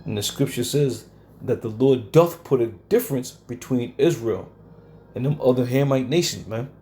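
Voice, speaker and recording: A man's voice: medium pitch, normal speed, mellow, a little hiss or hum. A man teaching scripture in a combative, scolding way, often checking in with "okay?" and using some coarse language. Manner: combative, scolds listeners, keeps asking "okay?". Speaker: Bible teacher. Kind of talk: home Bible study lecture.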